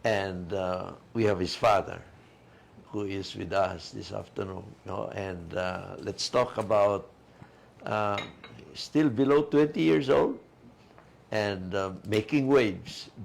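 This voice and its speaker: A man co-hosting a talk show, his delivery unhurried and hesitant. He has a low, gravelly voice and stops often to fill gaps with a drawn-out "uh.". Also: darkish tone. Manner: slow, halting, many filler uhs. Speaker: co-host, attorney.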